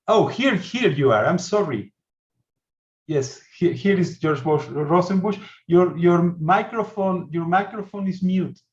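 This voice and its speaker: A man with a fairly high voice moderates an online meeting informally. He is polite and tends to repeat short phrases, and his video call audio is somewhat muffled. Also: measured pace.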